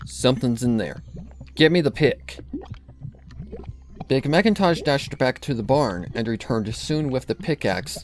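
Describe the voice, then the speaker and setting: A man's voice: on the low side, a little mellow. Male story narrator, faint background noise. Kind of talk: scripted narration.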